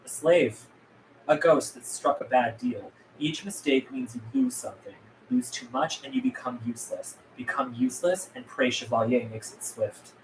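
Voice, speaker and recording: A man's voice: mid-range voice, big pitch swings, moderate pace. A man playing a defiant, world-weary and blunt rebel leader at a script table read. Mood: bitter warning. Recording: echoey room with background noise.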